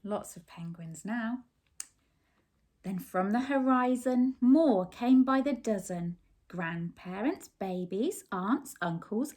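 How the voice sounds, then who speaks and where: A woman reading a picture book aloud expressively, putting simple questions and explanations to young children. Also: a little high. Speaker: children's story reader.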